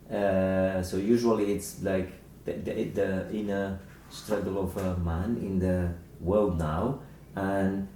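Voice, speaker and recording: Non-native english guy, dark mellow voice, halting, talking books in an echoey room. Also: low voice. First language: not English. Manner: halting, searching for words. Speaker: author discussing a book. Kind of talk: informal literary discussion. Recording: echoey room, distant mic, faint noise.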